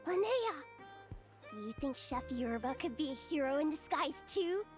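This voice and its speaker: A very high child's voice over clear music, for a little waitress in a video game. She sounds eager and chatty, her pitch bouncing around with imagination. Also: sing-song, moderate pace. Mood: excited. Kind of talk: English video game voice acting.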